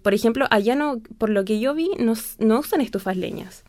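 A woman's voice: on the high side, brisk, darkish tone, dry recording. A young woman with a southern Chilean accent, a student guest chatting informally in an interview, rambling and repeating phrases. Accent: southern Chilean Spanish. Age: young adult. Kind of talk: casual spoken interview.

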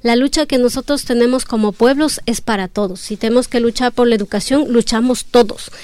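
A woman with a smooth, clear voice pitched a little high speaks as a guest on a panel. She is from Ecuador, her first language is Quichua, and she explains her points earnestly. The sound is dry and close. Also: fairly even intonation, brisk, a little mellow, no echo. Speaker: academic guest. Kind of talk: conversational panel discussion.

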